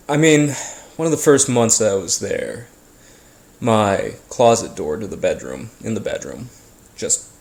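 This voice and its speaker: A man telling a first-person story casually, as if being interviewed, in a halting, hesitant way with pauses and repeats. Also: lowish voice, gravelly, exaggerated voice. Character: ordinary man recounting childhood brushes with a haunting.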